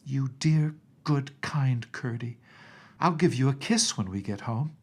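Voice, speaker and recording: An eager little storybook princess, as a male narrator plays her, with a raised, slightly husky voice. She speaks with childlike, pleading directness, innocent and affectionate but stubborn. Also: mid-range voice, lively intonation, a little slow, voice pushed up.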